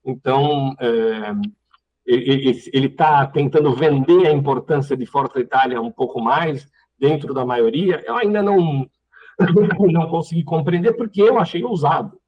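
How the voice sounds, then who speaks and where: Man, Brazilian accent, husky voice, halting panel commentary, dull lo-fi call audio. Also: a little high. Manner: halting, repeats words while searching for phrasing. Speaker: panel guest, community representative. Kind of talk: unscripted political commentary in an online panel. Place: online live call.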